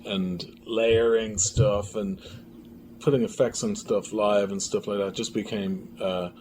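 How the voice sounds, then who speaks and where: A man's voice: lowish voice, steady tone, slow pace, darkish tone, poor audio. A man talking casually in an interview, hesitantly searching for his words as he reflects. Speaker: filmmaker and sound designer being interviewed. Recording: clear background noise.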